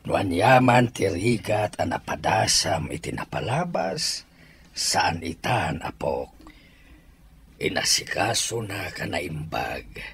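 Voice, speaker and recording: Slow, kindly old grandfather. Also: on the low side, big pitch swings, gravelly, heavily acted. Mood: tender, grateful. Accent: Ilocano. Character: kind, advising old grandfather. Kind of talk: Ilocano radio drama acting.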